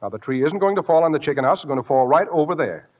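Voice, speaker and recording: A man's voice: a little high, expressive, rapid-fire. A man playing a cocksure, bumbling American farmer husband in an old-time radio comedy, confidently lecturing and hemming. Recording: dull, echoey old radio recording with faint noise.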